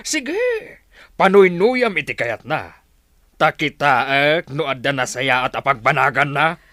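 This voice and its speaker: A man's voice: mid-range voice, lively intonation, slow pace, bright, forceful tone, no room sound, studio quality. A man playing a stern, scornful middle-aged husband and father in an Ilocano radio drama. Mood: scornful, scolding. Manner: scolding, scornful.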